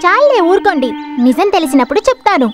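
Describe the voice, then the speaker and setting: A woman voicing a young village girl in a children's cartoon, curt, huffy and sharp-tongued. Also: very high voice, sing-song, quick pace. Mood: huffy, accusing. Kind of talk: animated children's cartoon acting. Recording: music playing behind the voice.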